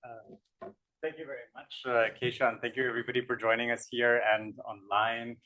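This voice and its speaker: A man moderating a conference panel, introducing speakers in a loud, projecting voice. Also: medium pitch, little pitch movement, moderate pace, no room sound, rough recording.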